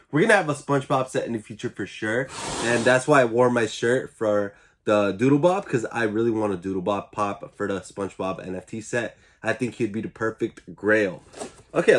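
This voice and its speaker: A young man with a slightly stuffy, congested voice talks through an unboxing in a chatty, upbeat way. His pitch sits right in the middle for a man. He sounds excited and easygoing, like a channel host talking to his regular viewers. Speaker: YouTube collector channel host. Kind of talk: casual unboxing vlog.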